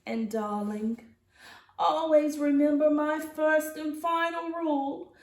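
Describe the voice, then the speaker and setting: A woman voicing a grand, eccentric elderly great-aunt for a storybook, with theatrical, drawn-out emphasis. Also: sits high, fairly even intonation, a little mellow, echoey room, pitched above the performer's own voice. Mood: wise.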